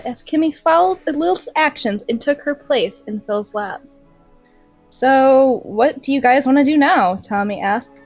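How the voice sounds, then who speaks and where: Young woman reading fanfic out loud, kinda high voice, giggly, dull lo-fi mic, faint music behind. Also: slightly rough. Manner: reads aloud with frequent giggles. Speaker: co-reader. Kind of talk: amateur fan fiction reading. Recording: dull lo-fi home recording.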